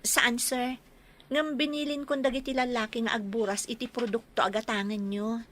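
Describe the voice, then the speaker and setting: Bright-voiced dutiful young daughter played by a woman. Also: fairly high pitch, level tone, normal speed, slight background noise, lightly acted. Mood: dutiful, polite. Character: dutiful young village daughter, respectful and helpful. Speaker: actor in a radio drama. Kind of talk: Ilocano radio drama acting.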